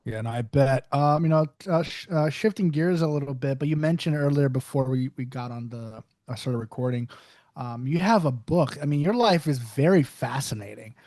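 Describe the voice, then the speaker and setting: A man's voice: medium pitch, moderate pace, a little mellow, dry recording. A man hosting a podcast, asking rambling questions full of fillers. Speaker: podcast host. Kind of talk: casual podcast chat.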